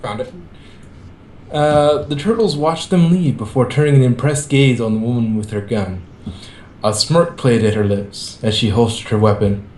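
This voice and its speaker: A man's voice: moderate pace, a little mellow. A man reading fan fiction aloud and chatting casually as an amateur co-reader. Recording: echoey room, faint rumbling noise.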